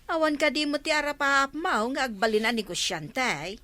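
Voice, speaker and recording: Woman acting as animated Ilocano village councilor. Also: high voice, normal speed, no room sound. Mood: impressed. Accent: Filipino, Ilocano. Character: female village councilor, chatty, inquisitive. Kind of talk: comedy drama dialogue.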